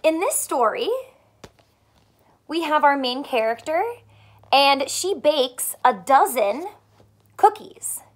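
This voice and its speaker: A young woman teacher reading aloud to small children and asking them simple questions in an animated way. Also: high-pitched, takes it slowly, pauses often. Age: young adult. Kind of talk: children's read-aloud with a math lesson. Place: small furnished room indoors.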